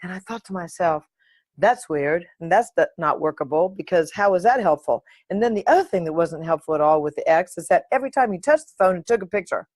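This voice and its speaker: A woman hosting her own podcast, talking in a playful, animated and uninhibited way, close to the mic. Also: on the low side, brisk, no room sound. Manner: playful, animated, sings and makes silly sounds; uninhibited, swears. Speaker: podcast host. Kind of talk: solo podcast chat.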